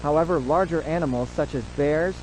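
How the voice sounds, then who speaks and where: A man playing a formal, solemn government announcer, reading a scripted emergency broadcast in a measured way. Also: fairly high pitch, darkish tone, a little hiss or hum, natural voice, low-quality recording. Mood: solemn, instructive.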